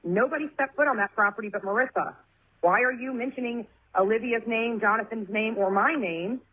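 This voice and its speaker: A tinny phone line carries a woman's voice at a medium pitch. She is confrontational and insistent, pressing accusatory questions as if leaving a message.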